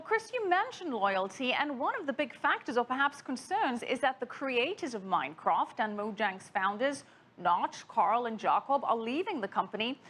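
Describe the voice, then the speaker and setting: A woman's voice: a little high, a bit bright. A woman anchoring a broadcast news interview, putting questions to a guest.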